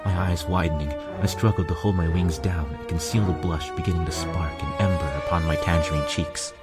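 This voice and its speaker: A man's voice: deep voice, steady tone, a little fast, over loud music. A man reading scripted first-person fiction as its narrator.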